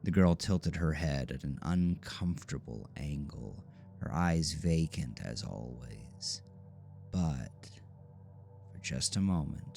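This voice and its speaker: A man reading scripted horror audio drama narration in a measured, ominous storytelling manner. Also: very deep voice, vocal fry, a little mellow.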